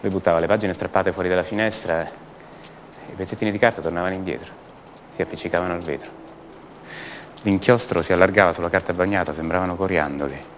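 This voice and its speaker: An actor playing a passionate, rebellious young poet from a rich family in a radio theatre monologue, confiding and halting, repeating his words. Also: sits low, fairly even intonation, a little hiss or hum, natural voice. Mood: hushed, bitter.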